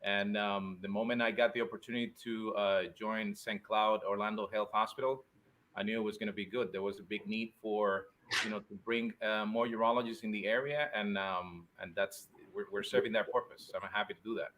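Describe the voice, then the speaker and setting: A man from Spain, a urologist guest answering interview questions conversationally, somewhat halting and repeating words. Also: fairly low pitch, fairly even intonation, a bit bright. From born in Spain, Puerto Rican and Spanish family. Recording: faint background noise.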